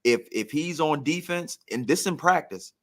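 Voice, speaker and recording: A man's voice: on the high side, expressive, normal speed, a bit bright. A man in casual sports talk, telling stories like a former player and repeating phrases for emphasis. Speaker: former pro football player, guest storyteller.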